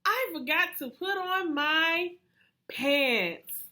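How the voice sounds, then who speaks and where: An eager little storybook frog boy, acted by a woman, squeaks out his exclamations in a singsong way. The voice is very high and bright, and it leaps around excitedly. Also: drawn-out words, full character voice. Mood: dismayed. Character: excitable, eager young male frog child.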